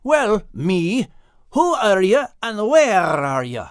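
Spunky Scottish dog, wildly swooping pitch. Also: male voice, sing-song, slow, clear, resonant tone, no room sound, studio quality, heavily acted. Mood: suspicious, demanding. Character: spunky little male Scottish terrier, plucky and wary.

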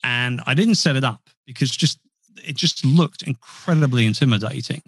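A man's voice: mid-range voice, moderate pace, slightly rough, no echo. A man chatting as a podcast guest, rambling and self-deprecating with frequent restarts. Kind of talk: casual interview talk. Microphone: podcast mic.

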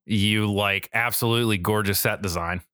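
Male podcast host, casual and informal, bright forceful delivery, dry close sound. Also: fairly low pitch, fairly even intonation, moderate pace, clean tone, no echo. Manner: informal, frequently swearing.

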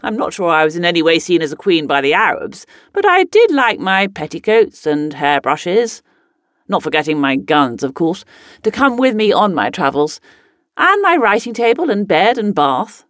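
A woman's voice: fairly low pitch, sing-song, moderate pace, a bit bright, no echo, studio quality. An actress playing a spirited, headstrong upper-class lady explorer who looks back in a genteel, emphatic dramatic monologue. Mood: proud, confiding. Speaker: actor reading a monologue. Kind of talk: scripted first-person dramatic monologue.

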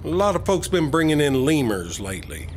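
Man playing a deadpan American border officer. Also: a little high, a little fast. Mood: deadpan, official. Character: suspicious, humorless American male border officer. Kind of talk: comedy audio drama acting. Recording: faint vehicle ambience noise.

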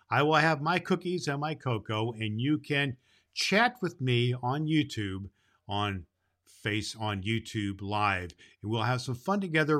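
A man's voice: medium pitch, pushed and bright. A man playing a jolly, kindly old Santa Claus who hosts a children's show, speaking affectionately and folksily and stumbling a little over his words. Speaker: host and storyteller of a children's show.

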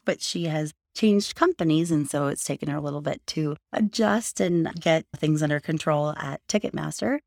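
A sleepy, hoarse woman in her thirties hosting a podcast, rambling in a chatty way and circling back to her point. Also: low-pitched, no room sound, studio quality. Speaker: podcast host. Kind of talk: casual solo podcast talk.

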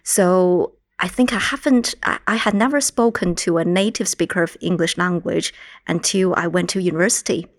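A woman with a Mandarin Chinese accent, a native Mandarin speaker from China, explaining her work in a measured way as a podcast guest. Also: fairly low pitch, dry recording, studio quality. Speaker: guest linguistics researcher. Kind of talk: podcast interview.

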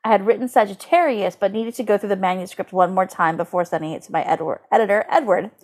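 A woman co-hosting a podcast in casual comedic chat, animated and giggly, sprinkling her sentences with "like". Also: mid-range voice, fast. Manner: animated, giggly, uses "like" constantly. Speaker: podcast co-host. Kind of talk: casual comedic chat, recapping and reading aloud.

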